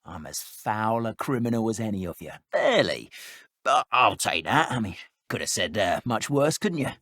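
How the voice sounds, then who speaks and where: A man voicing a fussy, polite, easily affronted dark wizard in a video game, with a British accent and a prim, wordy manner. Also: on the low side, very animated, normal speed, no echo, studio quality. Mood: meek, placating.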